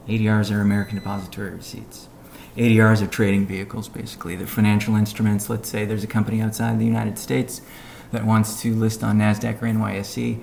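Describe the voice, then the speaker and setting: Male, low creaky voice, measured business delivery, conference call update, pauses between phrases, faint background noise. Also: a little mellow. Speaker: company executive giving an update and answering questions. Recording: noisy conference call audio.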